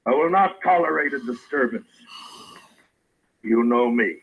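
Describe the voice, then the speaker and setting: An actor playing a stern, weary frontier marshal in an old radio Western, terse and full of authority. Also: male voice, medium pitch, animated, measured pace, slightly rough, dry recording, dull audio. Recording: vintage radio recording with hiss.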